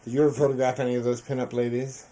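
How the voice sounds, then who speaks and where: A man's voice: medium pitch, flat delivery, very fast, warm tone, low-quality recording. A man conducting a conversational interview. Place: indoors, photographer's studio.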